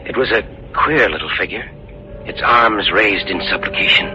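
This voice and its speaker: A muffled vintage radio recording with music behind an actor playing a sober young heir of a mystery tale. His deep voice is measured, grave and uneasy. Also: lightly acted. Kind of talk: 1940s radio drama narration and acting.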